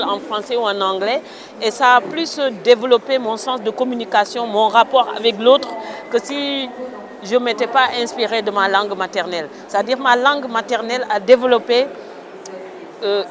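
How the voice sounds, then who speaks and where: A woman from Senegal, an author answering interview questions outdoors in a thoughtful, hesitant way with many fillers. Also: on the high side. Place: outdoors in a town. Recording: crowd noise outdoors. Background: crowd chatter.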